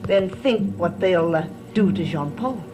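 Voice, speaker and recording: An actress playing a sinister, scheming servant in a gothic soap, speaking in a theatrical, deliberate, taunting way. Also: female voice, on the low side, animated. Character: sinister, scheming female servant, defiant occult summoner. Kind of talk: 1960s-70s gothic TV soap acting. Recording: old TV soundtrack with music underneath.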